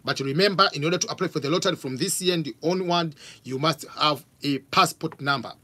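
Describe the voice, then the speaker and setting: A man's voice: fairly high pitch, fairly quick, breathy edge. Tanzanian man answering viewer questions live. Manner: animated, gestures a lot, reads out questions. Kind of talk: live Q&A stream. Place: home office with bookshelves. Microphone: studio mic on stand with pop filter.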